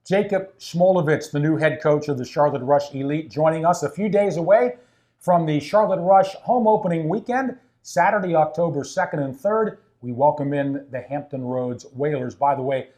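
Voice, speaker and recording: A man's voice: on the high side, moderate pace, warm tone. A man hosting a casual sports interview in a coach's office at an ice rink, upbeat and chatty with fillers. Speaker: team broadcaster and host.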